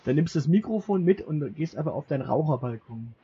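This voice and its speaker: A man's voice: a little high, animated, fast talker, husky. A man co-hosting a podcast in casual banter, reading out from a list and joking as he goes. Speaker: podcast co-host. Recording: muffled lo-fi podcast recording with background noise.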